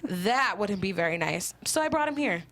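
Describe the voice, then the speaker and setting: A woman's voice: mid-range voice, sing-song, normal speed, a bit bright, a little hiss or hum. A woman playing an oddly cheerful, frantic woman on the run in a crime comedy table read. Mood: breezy.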